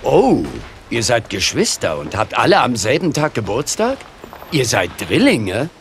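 A man's voice: mid-range voice, wildly swooping pitch, a little slow, slight background noise. A man playing a good-natured, matter-of-fact casting show official in an audio drama. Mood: brisk, friendly.

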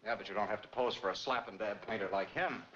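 A man's voice: on the low side, quick pace, a bit bright, lightly acted. An American actor in his thirties playing a jealous, blunt artist in 1940s film style. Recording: noisy, dull 1940s film soundtrack.

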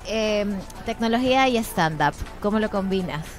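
A woman's voice: medium pitch, animated, moderate pace, over music. A woman in her forties co-hosting a radio show, chatting casually with short comments and questions. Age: 40s. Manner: brief interjections and questions. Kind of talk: casual chat, interviewing. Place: small radio studio. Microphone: studio microphone.

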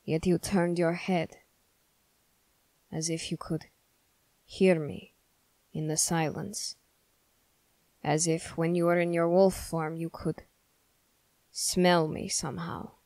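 A woman playing a stern, suspicious young male soldier in an ASMR roleplay, questioning the listener in a measured, formal way. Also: deep voice, a little mellow, no room sound. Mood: curious, suspicious. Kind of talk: ASMR character roleplay, slow scripted monologue.

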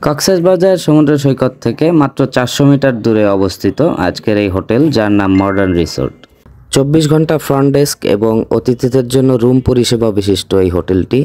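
A man's voice: lowish voice, mellow. A man in his thirties with a Bangladeshi accent, a travel vlogger narrating a hotel review and explaining things to viewers.

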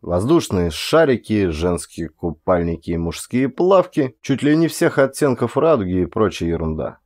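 A man with a very low voice reads a dark story aloud for an audiobook. His delivery is dramatic and expressive, and he lifts his voice for the lines of dialogue. He is from Russia and records close to a studio microphone. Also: normal speed. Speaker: audiobook narrator.

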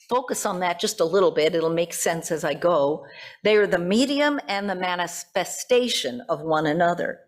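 A middle-aged American woman, a pastor, giving a reflective, conversational sermon at a church pulpit microphone. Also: medium pitch. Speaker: pastor preaching. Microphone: gooseneck pulpit microphone.

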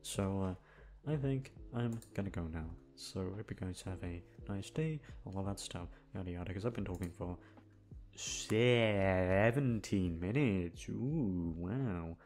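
A man's voice: low-pitched, a little slow, darkish tone. A man chatting casually to his listeners as an ASMR creator, rambling hesitantly with self-deprecating swearing. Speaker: ASMR audio creator and host.

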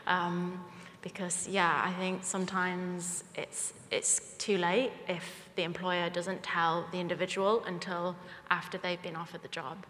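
A woman speaking as a panelist at a live conference discussion, measured and practical, listing points one by one. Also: lowish voice, level tone, fairly bright tone, slight background noise. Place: large conference hall stage. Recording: hall with long reverb.

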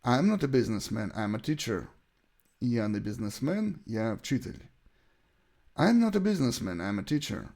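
A man with a Ukrainian accent, a native Ukrainian speaker, teaching English by deliberately reading out short example sentences and repeating them. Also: medium pitch, very animated, vocal fry, a little mellow. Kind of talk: bilingual language lesson, reading example sentences and translations.

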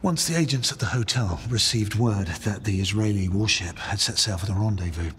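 A man narrating a documentary in a measured, scripted way. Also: fairly low pitch, slight background noise. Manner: measured, even storytelling.

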